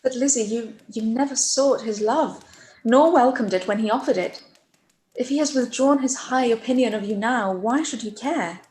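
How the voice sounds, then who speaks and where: A woman playing a gentle, trusting young Regency sister in a period radio play, soothing, with careful formal diction. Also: on the high side, moderate pace, natural voice, rough recording. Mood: soothing, concerned.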